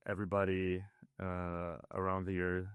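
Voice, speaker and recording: A man's voice: low voice, monotone, unhurried, clean tone, no echo. A man from northern Italy, raised in Switzerland, whose first language is Italian, talking through a casual interview with many fillers and restarts. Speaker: ski builder guest.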